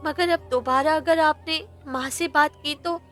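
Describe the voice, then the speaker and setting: A woman's voice: high-pitched, fairly even intonation, a little slow, fairly bright tone, faint music behind, pitched above the performer's own voice. A woman voicing a timid, tearful poor young sister in a cartoon moral story, pleading and hurt. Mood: worried. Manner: plaintive, pleading.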